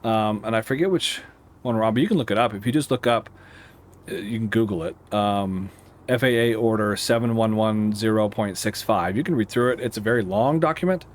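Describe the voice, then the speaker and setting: Rambling American man explaining as he plays. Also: fairly low pitch. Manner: rambling, halting, thinking aloud. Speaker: air traffic controller streaming a simulator game. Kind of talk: casual explanatory gameplay commentary.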